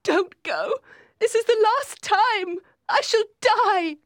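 A woman's voice: sits high, animated, slow pace, no room sound, studio quality, pitched above the performer's own voice. A woman voicing a bitter, passionate dying young wife, intense and pleading, in dramatised storybook dialogue. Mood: desperate pleading.

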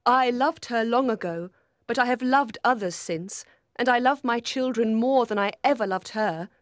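A woman's voice: sits high, expressive, slow pace, no room sound, studio quality, voice pushed up. A woman voicing a bitter, passionate dying young wife, intense and pleading, in dramatised storybook dialogue. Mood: bitter, anguished.

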